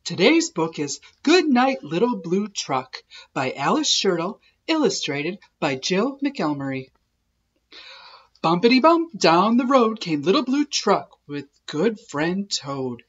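A woman narrating a children's picture book in an expressive, measured storytelling way. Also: fairly low pitch, slightly rough, lo-fi sound. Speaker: children's read-aloud host.

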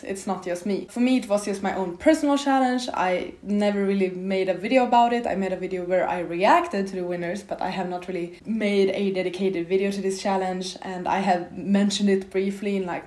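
Chatty woman, slightly raspy, echoey room. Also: medium pitch, a little mellow. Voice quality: a bit raspy. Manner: chatty, rambling, many "like" fillers. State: slightly hoarse from a cold. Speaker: book vlogger. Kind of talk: casual chat to camera. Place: bare, half-furnished apartment room.

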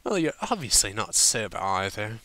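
Man acting a refined female pony, polite, tactful, bright lively delivery, storybook character. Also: on the low side, normal speed, dry recording, heavily acted. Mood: placating. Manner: polite, proper, careful, flustered. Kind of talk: fan fiction audiobook character voice.

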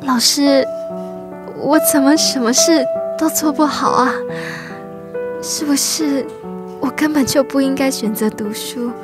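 A woman's voice: high-pitched, a little slow, long pauses. A woman acting an earnest, emotional teenage immigrant girl in a reflective radio drama. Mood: distressed. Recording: music behind the voice.

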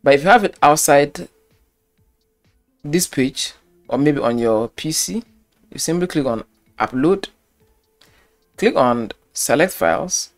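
A man's voice: fairly high pitch, animated, slow pace, pauses often, soft background music. A man walking through a website-building tutorial step by step, repeating key phrases as he clicks through the screen. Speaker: web design tutor. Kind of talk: screen-recorded software tutorial.

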